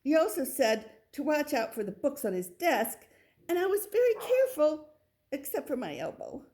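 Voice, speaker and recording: A woman reading a children's picture book aloud, with expressive delivery and little asides to the listener. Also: high-pitched, moderate pace. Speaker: storybook reader.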